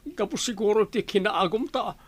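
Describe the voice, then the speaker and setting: A man's voice: high voice, moderate pace, slightly rough. A man with an Ilocano accent playing a plain-spoken village family man in a radio drama. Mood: grumbling.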